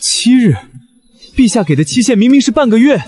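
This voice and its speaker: A man voicing a haughty, prickly rabbit spirit who serves as chancellor in an animated period drama. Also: extremely high pitch, fairly quick. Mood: indignant. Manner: haughty, indignant. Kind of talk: Chinese animated web drama voice acting.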